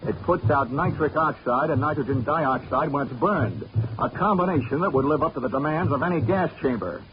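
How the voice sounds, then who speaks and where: A man's voice: on the high side, animated, fairly quick, natural voice. An actor playing a hardboiled insurance investigator who narrates his own case in a 1940s radio drama, with dry wisecracks. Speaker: narrator and lead. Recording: dull 1940s radio broadcast with music.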